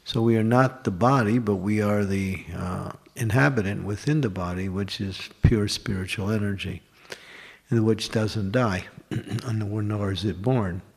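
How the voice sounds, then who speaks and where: A man's voice: lowish voice, a little slow, gravelly, slightly rough. A man giving a scripture class at a temple, explaining step by step and posing and answering his own questions. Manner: lists examples in short runs.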